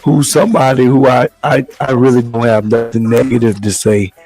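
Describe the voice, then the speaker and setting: Hoarse, gravelly-voiced male talk host. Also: medium pitch, flat delivery, darkish tone, soft background music. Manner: measured, loose, repeats words as he talks. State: hoarse from the flu. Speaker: show host. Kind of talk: casual talk show hosting.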